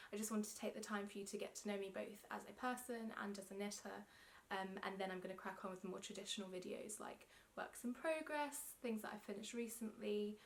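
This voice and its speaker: A young woman with a London English accent, chatting casually to camera as a knitting vlogger, a little self-conscious with frequent ums. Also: mid-range voice. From England. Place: indoor room with yarn shelves.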